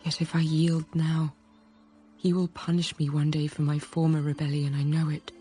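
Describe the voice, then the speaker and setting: Actress for introspective first-person radio drama narrator, young, troubled. Warm dark tone, even delivery, soft music behind. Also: female voice, low-pitched, moderate pace, lightly acted. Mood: conflicted.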